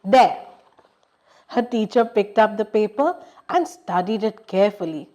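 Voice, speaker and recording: A woman's voice: mid-range voice, lively intonation, moderate pace, dark tone. A woman hosting a children's storytime, reading aloud expressively and explaining things for young listeners. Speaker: storytime host and narrator.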